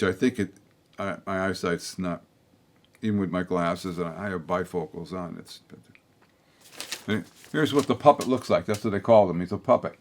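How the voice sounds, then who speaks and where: An older man hosting a local public-access opinion show, talking bluntly in a meandering way with many restarts and asides. His voice is slightly hoarse from a throat tickle. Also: on the low side. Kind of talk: rambling opinion monologue to camera.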